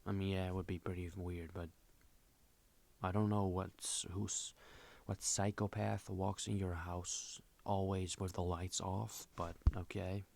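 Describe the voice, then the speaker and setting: Deep-voiced skeptical man, sweary. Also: little pitch movement, unhurried, gravelly, a little mellow, a little hiss or hum. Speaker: reaction video host. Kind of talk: casual mocking reaction commentary.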